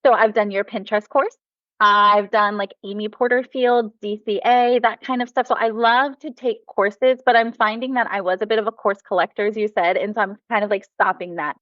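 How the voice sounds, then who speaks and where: A woman's voice: medium pitch, fairly even intonation, normal speed, no echo, dull audio. An American woman, a mom and former teacher, talking enthusiastically as a podcast guest. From United States. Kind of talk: casual podcast conversation.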